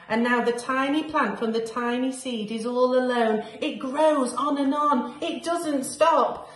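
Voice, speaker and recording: A woman in her thirties, a school teacher, reading a picture book aloud to young children, climbing high for exciting moments and dropping soft for quiet ones. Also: echoey room, low-quality recording. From England. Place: small bright room at home.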